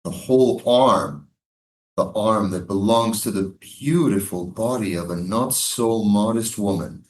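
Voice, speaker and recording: A man's voice: lowish voice, measured pace, a little mellow, lightly acted. Man acting a menacing military commander, echoey room. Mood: insinuating, menacing. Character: menacing, insinuating American military commander at a prison camp. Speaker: actor in a staged play reading. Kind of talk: live staged reading of a stage drama. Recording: echoey room, live stage reading.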